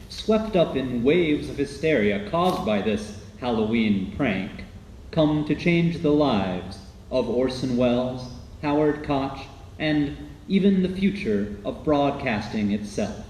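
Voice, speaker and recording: A suspenseful old-time radio narrator, acted by a man, with a medium-pitched, animated voice. His rhetorical delivery echoes in a roomy space with faint noise. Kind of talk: dramatic scripted narration.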